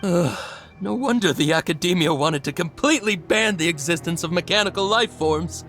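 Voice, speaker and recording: A man voicing a panicked, delirious herb gatherer in a video game, stammering and halting, with nervous giggles. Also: high-pitched, a bit bright, soft background music. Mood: paranoid, rambling.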